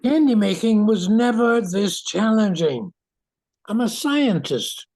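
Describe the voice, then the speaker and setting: An older woman playing a steady retired scientist in an amateur radio play, measured and reassuring. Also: mid-range voice. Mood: exasperated. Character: retired scientist, steady, civic-minded candy maker. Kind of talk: amateur old-time radio play acting.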